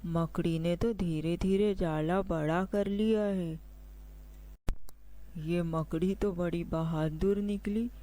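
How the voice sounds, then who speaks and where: A man's voice: high voice, steady tone, slow, warm tone. A man playing a humbled, defeated king in a children's cartoon, speaking in earnest, declamatory monologue. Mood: amazed. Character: defeated king, despondent then humbled and resolved.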